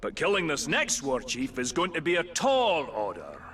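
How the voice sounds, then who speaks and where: An actor playing a gruff, hearty dwarf hunter in a video game cutscene, blustering and animated. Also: male voice, a little high, normal speed, soft background music, exaggerated voice. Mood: hearty banter.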